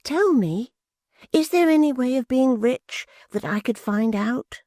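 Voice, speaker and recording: A woman's voice: sits high, animated, a little slow, mellow, no room sound, studio quality, voice pushed up. A woman voicing an eager, simple-minded young man who talks in wondering, pleading bursts.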